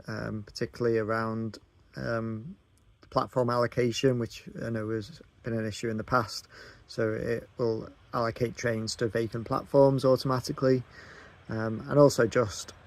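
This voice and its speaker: A man giving casual, somewhat hesitant explanatory narration as a hobby video host. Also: medium pitch.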